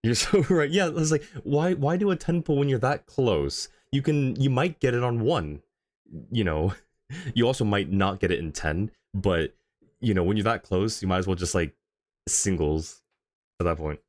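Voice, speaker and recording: A man's voice: lowish voice, lively intonation, normal speed, a little mellow, dry recording. A man hosting a casual livestream, talking in an easygoing, conversational way as he answers chat. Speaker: livestream host.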